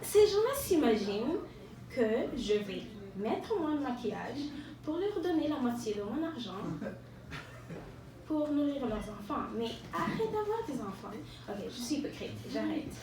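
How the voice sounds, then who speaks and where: A woman from Rwanda performs a self-mocking, ironic stand-up monologue, often pausing and repeating words. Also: high-pitched, sing-song. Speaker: stand-up comedian. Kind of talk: stand-up monologue to a live audience. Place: live venue with an audience. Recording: echoey room, distant mic, audience noise.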